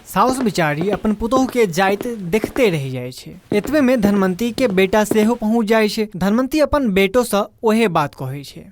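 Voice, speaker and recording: A woman's voice: mid-range voice, lively intonation, measured pace, hardly any pauses, a little mellow. A woman with a Maithili accent narrating a scripted folk story as the storyteller.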